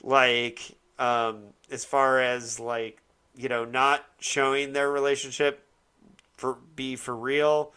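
A man's voice: medium pitch, flat delivery, slow pace, sharp tone, clear, resonant tone. A man hosting a casual discussion, rambling and correcting himself as he searches for words. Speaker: podcast host. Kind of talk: casual chat, TV show discussion.

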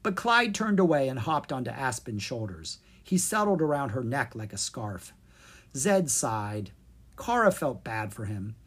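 A woman reading a children's fairy tale aloud as an expressive storyteller. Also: rumbling low, unhurried, breathy edge. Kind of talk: expressive scripted narration for children.

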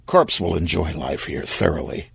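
A man's voice: low-pitched, lively intonation, moderate pace, vocal fry, slightly rough, dry recording, studio quality, full character voice. A man voicing a genial, unflappable insect king in a story, with a mildly amused manner.

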